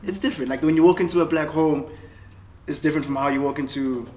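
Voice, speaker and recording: A man's voice: fairly high pitch, fairly even intonation, quick pace, a little mellow. Casual joking young man, echoey and distant. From Senegal. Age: 20s. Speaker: interviewee. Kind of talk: casual documentary interview.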